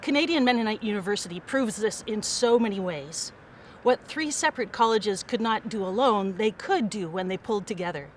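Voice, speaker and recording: A woman's voice: medium pitch, animated, a little fast, a little hiss or hum. A woman giving a prepared speech that urges listeners to give generously. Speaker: fundraising speaker.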